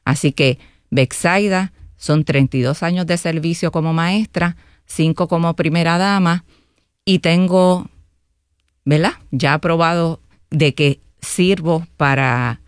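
A woman's voice: low-pitched, slow, smooth, no room sound, clean studio sound. Earnest Puerto Rican woman candidate. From Guaynabo, Puerto Rico. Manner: earnest, often tags phrases with a questioning filler. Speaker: political candidate and interview guest. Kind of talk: radio interview.

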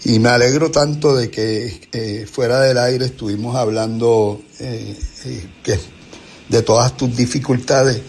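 A man's voice: medium pitch, fairly even intonation, a little slow, vocal fry, darkish tone, slightly rough. A man with a Puerto Rican accent hosting a conversational interview, introducing his guest and agreeing often. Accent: Puerto Rican Spanish. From Puerto Rico. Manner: affirming, frequent backchannels. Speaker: host.

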